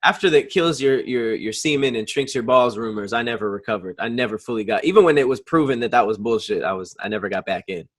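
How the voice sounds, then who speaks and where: A man co-hosting a podcast, with a high-ish voice, reacting wryly and incredulously. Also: fast talker, few pauses. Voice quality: says he has a high voice. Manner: wry, incredulous reactions with frequent laughing. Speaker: podcast co-host. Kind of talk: casual comedic chat.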